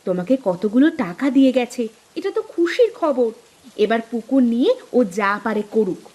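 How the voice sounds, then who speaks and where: Village wife for a cartoon played by a woman: high-pitched, persuasive, insistent, hopeful. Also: expressive, moderate pace, darkish tone. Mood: pleased, reassuring. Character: practical, optimistic village housewife urging her husband. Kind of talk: dramatised cartoon dialogue.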